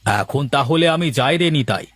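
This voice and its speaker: A man voicing a hearty, approving village merchant in a cartoon, talking in a brisk, patronising manner. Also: medium pitch, expressive, a bit bright. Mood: satisfied, businesslike. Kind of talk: cartoon voice acting.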